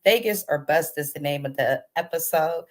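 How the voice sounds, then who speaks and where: A woman with a somewhat low voice hosts a chatty TV recap. She sounds under the weather, a little congested and not quite crisp. Her delivery is informal and aimed straight at her viewers. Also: moderate pace. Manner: informal, addresses viewers directly as "you guys". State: under the weather, sore throat with throat clearing. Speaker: host of a TV recap channel. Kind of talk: casual, chatty reality TV recap.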